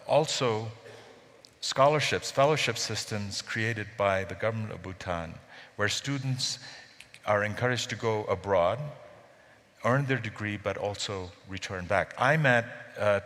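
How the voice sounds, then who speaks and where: A man's voice: lowish voice, a bit bright. A middle-aged man from Bangladesh, speaking as a panelist in a panel discussion, hesitant, with many fillers and restarts. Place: conference hall. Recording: reverberant conference hall.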